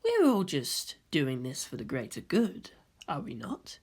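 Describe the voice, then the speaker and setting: A man voicing a smooth-talking, subtly menacing partner with a polite, insinuating manner in a fan fiction reading. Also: high voice, wildly swooping pitch, measured pace, darkish tone, heavily acted. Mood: slyly reassuring.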